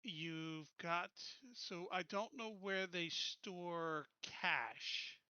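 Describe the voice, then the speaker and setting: A man's voice: sits high, drawn-out words, bright, forceful tone, smooth, no room sound, lo-fi sound. A man in an online game group casually explaining technical settings step by step.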